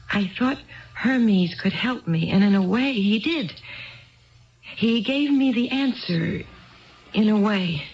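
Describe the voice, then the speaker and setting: An actress playing an earnest, neglected but stubborn wife in a 1970s radio drama, plaintive and given to repeating her phrases. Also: medium pitch. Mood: reflective. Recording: dull lo-fi 1970s radio broadcast.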